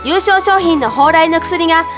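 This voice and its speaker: A girlish contest announcer in a comedy drama CD. The delivery is polite and orderly, in an extremely high voice over clear music. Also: normal speed. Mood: polite, formal. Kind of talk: scripted ceremony announcing in audio drama.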